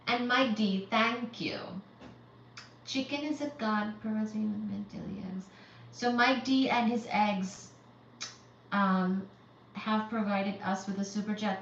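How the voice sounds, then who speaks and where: Slow-talking woman in her thirties. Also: fairly high pitch, a bit bright, slight background noise. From Central Asia. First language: Uzbek. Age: 30s. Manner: reads viewer comments aloud and greets them one by one. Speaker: livestream host. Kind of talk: live-stream chat. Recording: dull, roomy, distant from the mic.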